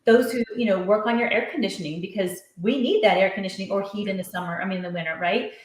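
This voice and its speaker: A middle-aged woman talks with earnest conviction, spinning long run-on sentences as she explains her views. Her voice sits at an ordinary pitch for a woman. The room around her is noticeably echoey. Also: steady tone, fast. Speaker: author and education coach. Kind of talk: podcast interview.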